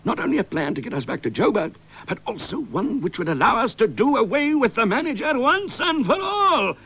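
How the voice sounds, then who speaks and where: A man's voice: extremely high pitch, big pitch swings, fairly quick, fairly bright tone, slightly rough, full character voice. A man playing an earnest, self-important adventurer, narrating his exploits in mock-dramatic radio comedy. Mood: resolute, swelling.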